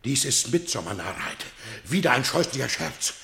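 Plaintive accused man, gravelly creak, swooping expressive pitch, self-pitying, radio drama. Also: moderate pace, over loud music. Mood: indignant pleading. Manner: theatrical, pleading. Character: persecuted man, plaintive, self-pitying, protesting his innocence. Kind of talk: radio play acting.

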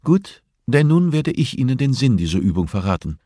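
A man voicing a composed, assertive female prison therapist in an audiobook, speaking in a measured, instructive way. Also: medium pitch, sing-song, mellow, husky, dry recording, studio quality, voice pushed up. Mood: firm, revealing. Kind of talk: audiobook character dialogue.

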